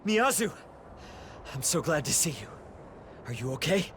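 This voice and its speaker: A man's voice: fairly high pitch, sing-song, unhurried, a little hiss or hum, heavily acted. A man voicing an earnest, protective teenage honor student in a video game, speaking with restraint. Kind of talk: anime-style video game voice acting. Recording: very loud music over voice. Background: game soundtrack music.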